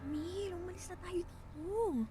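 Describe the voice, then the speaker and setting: Anxious, high-voiced young wife. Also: female voice, normal speed, warm tone, soft background music. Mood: frightened. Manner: nervous, pleading, hesitant. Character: anxious newlywed wife, timid, worried and pleading. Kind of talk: radio drama acting.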